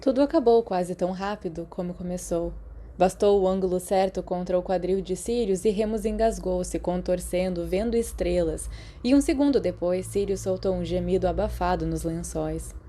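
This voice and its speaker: Mellow, lowish Brazilian woman narrating a story. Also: moderate pace, slight background noise. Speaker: audiobook narrator. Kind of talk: expressive scripted story narration.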